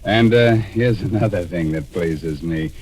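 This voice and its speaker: An actor playing a ghoulishly jovial, sly horror host with wry, drawn-out delivery on 1940s radio. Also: male voice, deep voice, a little mellow, husky. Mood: sly, macabre glee. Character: ghoulishly jovial male horror-show host, punning, mock-innocent.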